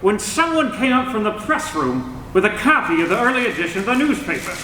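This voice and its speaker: A man playing an irascible old newspaper editor in a radio drama, gruff and flustered, stammering as he blusters. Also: high-pitched, very animated, fairly quick, bright, forceful tone, heavily acted. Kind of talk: old-time radio drama acting on stage. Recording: echoey hall, distant mic, faint noise.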